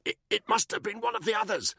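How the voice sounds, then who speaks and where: A man's voice: high voice, huge pitch swings, moderate pace, breathy edge, no echo, clean studio sound, pitched above the performer's own voice, exaggerated voice. A man voicing a panicky, cowardly official who stammers and trips over his words. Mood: flustered.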